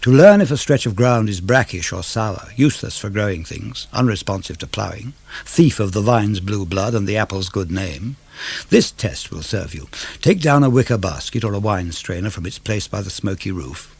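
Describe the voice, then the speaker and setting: A man reading poetry for radio in a measured, declamatory way, with rising and falling phrases. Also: fairly low pitch, expressive, vocal fry, darkish tone, breathy edge, no room sound. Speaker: poetry reader. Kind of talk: dramatic radio verse reading.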